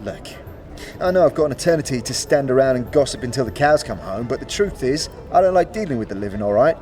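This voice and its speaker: An actor playing an impatient undead merchant with showy, curt patter in a fantasy audio drama. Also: male voice, medium pitch, expressive, fast talker, mellow, natural voice. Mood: irritated.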